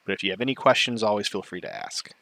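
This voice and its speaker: A man's voice: fairly low pitch, fast, no room sound, poor audio. A man hosting a board game tournament stream, introducing players and chatting casually with his viewers. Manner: relaxed, chatty, loose asides to chat.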